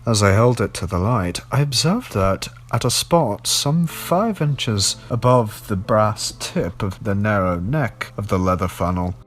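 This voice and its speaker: Slow, low-voiced man narrating over music. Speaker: narrator of a horror story podcast. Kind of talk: scripted audiobook-style story narration.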